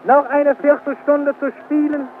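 A man's voice: very high-pitched, flat delivery, measured pace. A man giving live football commentary in the style of vintage radio. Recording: tinny, noisy old radio archive recording.

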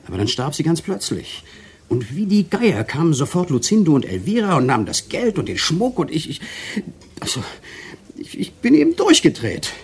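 An actor playing a smooth-talking, self-pitying conman lover in a German radio crime drama, intimate and theatrical, often dropping to murmurs. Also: fairly high pitch, wildly swooping pitch, normal speed, full character voice. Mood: indignant, aggrieved.

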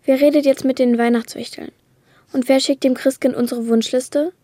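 A woman's voice: high-pitched, steady tone, moderate pace, mellow, dry recording, clean studio sound. A woman playing a naive, curious little girl in a radio play, speaking in an earnest, blunt, childlike way. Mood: sulky, puzzled. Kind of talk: German radio play acting.

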